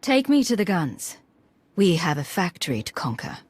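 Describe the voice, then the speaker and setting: An actress playing a composed, confident military commander in a video game, assured and deliberate, with a husky voice. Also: female voice, lowish voice, very animated. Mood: composed, serious.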